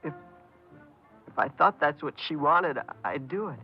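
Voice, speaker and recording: An actor playing a frightened, remorseful fifteen-year-old runaway boy in a 1950s radio crime drama, speaking haltingly. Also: a little high, lively intonation, highs cut off, faint music behind. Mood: ashamed, upset. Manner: hesitant, halting, stammering.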